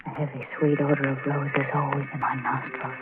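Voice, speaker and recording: An actress playing an anxious, haunted wife in a 1940s radio thriller, halting and stammering with breathless dramatic delivery. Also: female voice, a bass voice, flat delivery. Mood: fearful, dazed. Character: anxious, haunted wife slowly unravelling with dread. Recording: tinny vintage radio recording with music.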